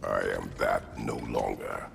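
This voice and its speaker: A man playing a stern, brooding warrior god in a video game, speaking tersely and gravely in a deep, gravelly growl. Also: steady tone, unhurried, background noise, heavily acted. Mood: grim, curt. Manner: terse, grave, clipped statements. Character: brooding, stern middle-aged male Greek-born god warrior. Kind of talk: dramatic video game acting. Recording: long reverb, music behind.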